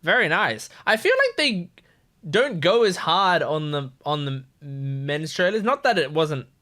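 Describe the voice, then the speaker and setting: High-pitched, animated man giving casual reactions. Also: measured pace. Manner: informal, playful, rambling. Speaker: reaction video host.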